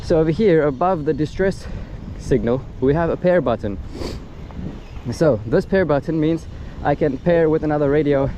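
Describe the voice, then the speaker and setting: Clear outdoor noise sits behind a man with a slightly high-pitched voice. He talks casually, like a reviewer walking through a product's features step by step. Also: normal speed. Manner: explanatory, step by step. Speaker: gadget reviewer. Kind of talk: casual hands-on product demonstration. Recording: loud wind and outdoor ambient noise.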